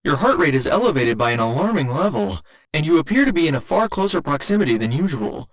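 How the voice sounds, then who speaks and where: A man voicing a gentle robot boyfriend in an ASMR roleplay, with formal, clinical phrasing delivered tenderly and a little hesitantly. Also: fairly high pitch, big pitch swings, quick pace. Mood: clinical, measured. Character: gentle male robot boyfriend learning to feel love.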